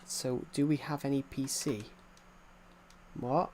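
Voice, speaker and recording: A man's voice: mid-range voice, fairly even intonation, takes it slowly, a little mellow, slight background noise. A man in his twenties chatting casually on a game stream, rambling and repeating himself while answering chat from a desk mic. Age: 20s. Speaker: gaming streamer.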